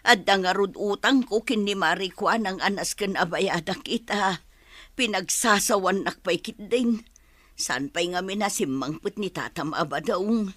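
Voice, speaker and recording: Casting: hard-up village mother, expressive, Ilocano accent, bright forceful tone, close dry sound. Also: mid-range voice, measured pace, no echo. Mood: pleading. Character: hard-up, plain-spoken village mother owing money. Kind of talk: Ilocano radio comedy drama acting.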